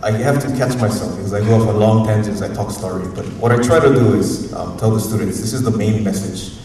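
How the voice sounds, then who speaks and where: A university professor from Hawaii with a Hawaiian accent, giving a rambling, informal, unscripted talk at a community forum and often chuckling. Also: male voice, fairly low pitch, fast talker, warm tone. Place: museum auditorium. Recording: echoey hall, distant mic, faint noise.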